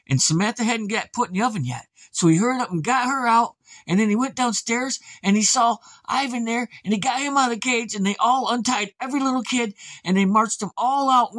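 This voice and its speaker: A man's voice: sits high, a bit bright, dry recording. A man telling an improvised scary bedtime story as storyteller, in a folksy, plain-spoken manner.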